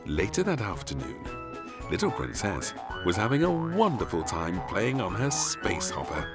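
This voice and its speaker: A man's voice: lowish voice, huge pitch swings, moderate pace, over loud music. A man reading a children's storybook aloud as narrator, expressively, for very young listeners.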